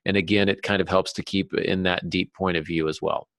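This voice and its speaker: A man's voice: deep voice, steady tone, brisk, a bit bright, no room sound. A man co-hosting a podcast in a casual, conversational way. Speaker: podcast co-host and novelist.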